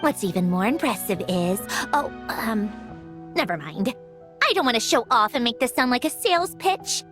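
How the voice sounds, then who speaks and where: A woman's voice: fairly high pitch, animated, normal speed, a bit bright, soft background music. A woman playing a proud, refined young lady inventor in an anime video game, speaking in a prim, self-assured and articulate way. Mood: proud, composed.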